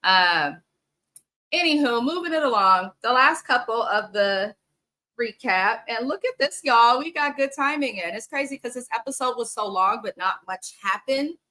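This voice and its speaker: A woman's voice: on the high side, expressive, moderate pace, pushed and bright. A woman hosting a casual, frank reality TV recap, chatting straight to her viewers and sniffly from allergies. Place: home in the Bay Area.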